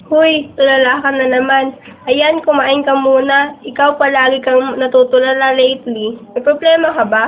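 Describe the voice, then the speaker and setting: A woman's voice: high voice, fairly even intonation, normal speed, lightly acted. A woman with a Filipino accent playing a concerned, chiding young friend in a radio drama. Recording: tinny phone-like audio.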